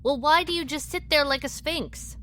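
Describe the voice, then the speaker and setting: Woman doing a brisk tart businesswoman, secretive, proud, highish voice, radio play. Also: fairly bright tone, slight background noise. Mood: assertive. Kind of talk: radio drama acting.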